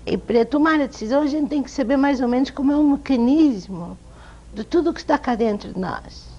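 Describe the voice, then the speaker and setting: A woman with a European Portuguese accent, an author telling lively, self-amused stories as a guest in an interview. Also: high-pitched, a little fast. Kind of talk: anecdotal interview storytelling. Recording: dull lo-fi TV sound.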